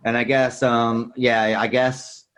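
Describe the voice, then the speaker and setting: A man's voice: medium pitch, level tone, takes it slowly, fairly bright tone, clean tone. A man co-hosting a casual film discussion, explaining things in a rambling, thinking-aloud way. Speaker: podcast co-host.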